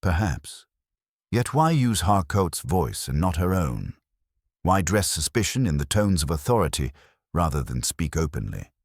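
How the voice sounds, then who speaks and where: A man voicing a calm, shrewd, philosophical police inspector in a detective story, speaking in a measured, grave way. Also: sits low, breathy edge, no echo, clean studio sound, full character voice. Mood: measured, reflective. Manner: measured, aphoristic, quietly grave. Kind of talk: detective audiobook character reading.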